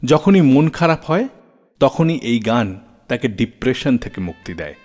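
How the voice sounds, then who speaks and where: A man hosting a radio show, telling scripted stories dramatically with deliberate pauses. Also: medium pitch, very animated, a little mellow. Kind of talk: scripted storytelling radio narration. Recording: long reverb, music bed behind. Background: music.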